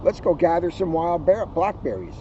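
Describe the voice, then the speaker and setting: A man's voice: a little high, very animated, normal speed. A man voicing an impatient young sister bear from a storybook, emphatic and ready to complain. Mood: eager. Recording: muffled, outdoors with vehicle noise.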